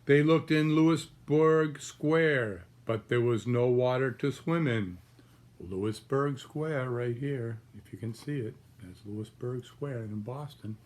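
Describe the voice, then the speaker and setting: An older man in his sixties reads a picture book aloud at a very unhurried pace. His voice sits at an average male pitch. He pauses often and talks to young listeners about the pictures as he goes. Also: a little mellow. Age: 60s. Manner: unhurried, pausing, explaining pictures to small children. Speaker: grandfather reading aloud to grandchildren. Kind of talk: children's storybook read-aloud with asides about pictures. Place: small room at home, indoors.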